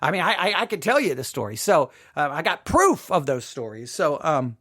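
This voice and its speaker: A man hosting an informal spoken commentary, emphatic and halting, repeating himself and adding rhetorical asides. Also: fairly high pitch, sing-song, a bit bright, no room sound, clean studio sound. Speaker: podcast host commenting on a drama.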